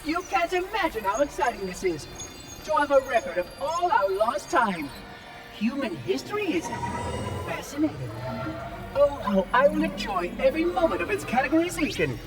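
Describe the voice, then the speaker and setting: An actor voicing a prim, excitable male robot caretaker AI in a video game cutscene, fussy and effusive. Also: very high voice, normal speed, over music. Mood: excited prim. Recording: distant voice over loud score.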